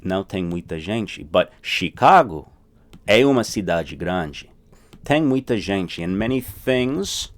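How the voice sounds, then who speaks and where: A man's voice: sits low, expressive, a little slow. A man teaching a recorded language lesson, explaining things step by step and often checking in with "right?".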